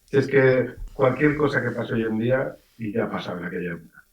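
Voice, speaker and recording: Middle-aged Valencian-man, a novelist on radio, mid-pitched, lively teller of anecdotes, heard back in a roomy space. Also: a little fast, recorded from a distance, lo-fi sound. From Valencia region, Spain. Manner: lively storyteller, acts out voices in quotes. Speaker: writer being interviewed. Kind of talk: casual radio interview. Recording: roomy, off-mic radio sound.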